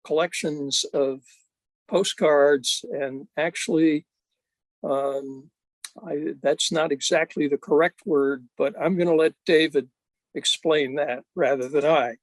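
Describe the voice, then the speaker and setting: A man hosts an evening talk and introduces the speaker in a loose, rambling way. His voice sits a little high, and the sound is dry and close. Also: no room sound, rough recording. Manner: warm, rambling, with fillers. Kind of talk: casual introduction.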